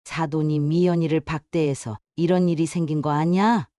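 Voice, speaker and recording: A man's voice: fairly high pitch, steady tone, normal speed, warm tone, clean tone, dry recording, natural voice. A man voicing an indignant, accusing older in-law parent in an audiobook drama.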